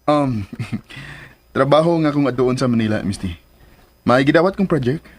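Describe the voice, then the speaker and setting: A man acting a kindly, slightly awkward confidant in a radio drama, husky-voiced, with hesitant stammers. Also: medium pitch, animated, normal speed, soft background music, natural voice. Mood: easygoing. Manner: hesitant stammers, code-switching into English. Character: kindly male civil engineer, gentle, slightly awkward confidant.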